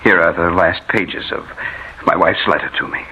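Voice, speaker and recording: An actor playing a grave, commanding, bereaved doctor in a formal, deliberate radio drama style. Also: male voice, deep voice, fairly even intonation, bright, forceful tone, a little hiss or hum. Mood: grieving. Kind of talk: 1950s radio drama acting. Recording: muffled vintage radio recording.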